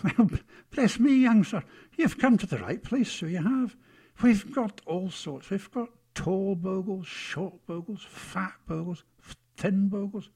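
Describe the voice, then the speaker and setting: A man's voice: high voice, measured pace, a little mellow, husky, pitched above the performer's own voice, full character voice. A man voicing a tall, thin, cheerful rustic bogle in a children's story, with folksy, ungrammatical speech. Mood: cheerful, eager.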